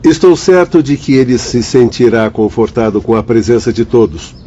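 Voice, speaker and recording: An actor playing a calm, learned, paternal spirit doctor in a radio drama, speaking in a measured, formal, didactic way. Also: male voice, mid-range voice, muffled recording, close to an everyday voice. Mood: solemn, instructive.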